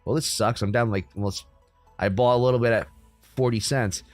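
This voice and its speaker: A young man from the United States hosting a crypto livestream, chatting casually with viewers in a relaxed, rambling way full of ums and likes. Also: medium pitch, fairly quick, no room sound, faint music behind. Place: room at home.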